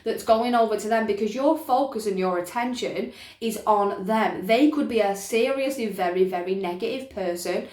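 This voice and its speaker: A woman's voice: mid-range voice, darkish tone. A woman giving an informal, emphatic explanatory talk as a spiritual teacher, repeating her points and often checking in with her listeners. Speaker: spiritual teacher presenting a self-help video. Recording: echoey, roomy sound.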